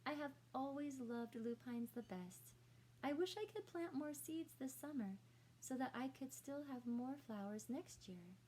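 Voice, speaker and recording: Woman reading as earnest elderly storybook lady, higher-pitched. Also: animated, measured pace, dark tone, slight background noise, poor audio. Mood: contented, wistful. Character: gentle, determined elderly woman who loves flowers. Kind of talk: children's storybook character voice.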